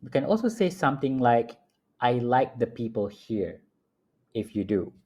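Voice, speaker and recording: A man teaching phrases at home, repeating each one slowly and clearly for learners in a patient lesson style. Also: mid-range voice, big pitch swings, a little mellow. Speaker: language teacher. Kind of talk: slow, repetitive phrase-by-phrase teaching. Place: home apartment.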